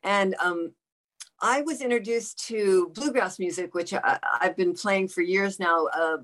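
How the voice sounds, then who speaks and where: A woman's voice: medium pitch, measured pace, a bit bright. A woman in her sixties from the South Bay of Los Angeles introduces herself on a group video call in a rambling way, often repeating and restarting words. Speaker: bluegrass musician and guest.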